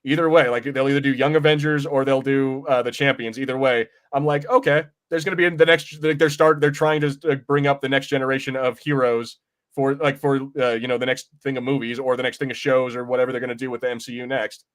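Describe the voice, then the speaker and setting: A man co-hosting a podcast, chatting casually about pop culture in a rambling, halting way and often repeating phrases. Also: mid-range voice, steady tone. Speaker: podcast co-host. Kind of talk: casual film and comics discussion.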